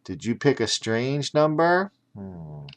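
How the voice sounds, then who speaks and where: Slow-paced male children's storyteller. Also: medium pitch, big pitch swings. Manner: theatrical, breaks off for explanatory asides. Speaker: author and audiobook narrator. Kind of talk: animated children's storytelling with chatty asides.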